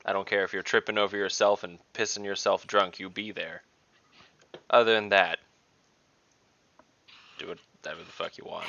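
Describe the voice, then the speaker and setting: A surly mercenary leader in a tabletop game, acted by a man, barking blunt, sweary orders. The voice is low and gravelly with a hard edge, over slightly dull, dry online call audio. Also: fairly even intonation, moderate pace, pauses often, bright, forceful tone, dry recording, exaggerated voice. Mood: gruff, commanding. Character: gruff, foul-mouthed, surly male mercenary leader.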